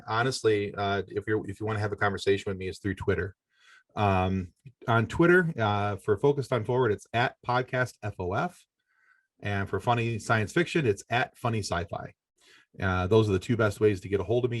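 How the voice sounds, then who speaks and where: Middle-aged man, low voice, dry sound. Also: no echo. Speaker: podcast guest. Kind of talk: casual conversational interview.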